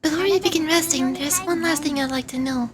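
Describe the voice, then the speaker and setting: A woman voicing a gentle, earnest young nature goddess from a game, speaking in a formal way. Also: high voice, brisk, lightly acted. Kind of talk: game dialogue read aloud in character.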